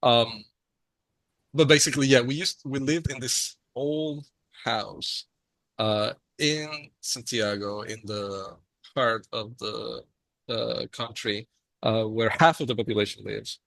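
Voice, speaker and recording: Man from Chile, podcast guest, bright mid voice, chatty with filler words, dry close mic. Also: mid-range voice, steady tone, takes it slowly, gravelly, dry recording. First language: Spanish. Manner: friendly, frequent ums and uhs. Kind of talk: casual interview storytelling.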